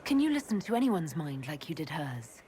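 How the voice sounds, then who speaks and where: A woman's voice: sits low, sing-song, moderate pace, dark tone, slight background noise. An actress playing a young woman elf mage who asks questions in a direct, curious way.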